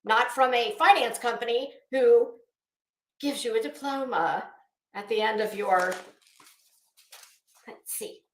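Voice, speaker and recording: A woman's voice: on the high side, takes it slowly, pauses often, slight background noise. A middle-aged woman giving an unscripted, rambling commentary rant in a sardonic, scornful, sweary manner. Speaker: online commentary vlogger. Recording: echoey room.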